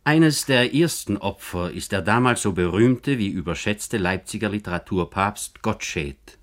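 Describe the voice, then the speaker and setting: A man with a low-pitched voice narrates a literary documentary for radio. He speaks in deliberate, declarative statements, setting out each point formally. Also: lively intonation.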